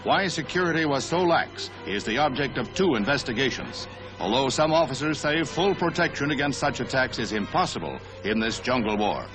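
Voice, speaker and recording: A man's voice: mid-range voice, animated, fairly quick, low-quality recording. American newsreel announcer man over music. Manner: formal, declamatory announcer delivery. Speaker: newsreel narrator. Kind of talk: 1960s newsreel scripted narration.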